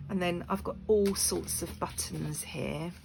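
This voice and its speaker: A woman with a croaky voice chatting casually to her channel while making craft pieces, rambling with lots of fillers. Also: fairly low pitch, slow pace. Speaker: crafting video maker. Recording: noisy room with clicks.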